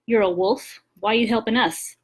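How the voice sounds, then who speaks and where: A woman's voice: on the high side, fairly even intonation, moderate pace, breathy edge. Casting: wary sixteen-year-old shifter lad for an audiobook, played by a woman, frightened yet defiant. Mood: suspicious. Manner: wary, challenging. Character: suspicious, frightened sixteen-year-old coyote shifter boy, trying to be brave.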